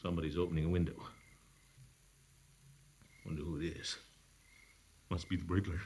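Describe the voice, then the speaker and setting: A man's voice: sits low, little pitch movement, normal speed, darkish tone, a little hiss or hum, natural voice. An actor playing a pompous, blustering middle-aged bachelor uncle in a 1940s radio comedy, chuckling to himself as he talks. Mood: muttering. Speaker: comic lead in a radio sitcom.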